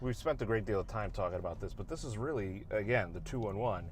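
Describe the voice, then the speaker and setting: Man, thirties, low-pitched, interview host, casual chat, in-car recording. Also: lively intonation, quick pace, a little hiss or hum. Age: 30s. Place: inside a moving car.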